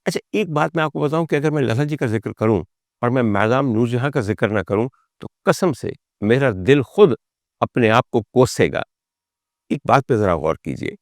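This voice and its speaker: A man hosting a talk, telling stories dramatically and rhetorically, with deliberate build-ups and repeated phrases. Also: medium pitch, animated, dry recording, clean studio sound. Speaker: host and storyteller of a music history talk. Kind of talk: dramatic spoken storytelling to the audience.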